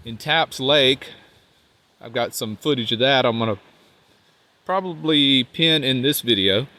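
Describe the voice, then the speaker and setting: A man with a congested, nasal voice, talking casually to the camera outdoors as a van life vlogger, rambling and trailing off quietly. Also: on the high side. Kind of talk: casual vlog talk while walking. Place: outdoors by a riverbank park.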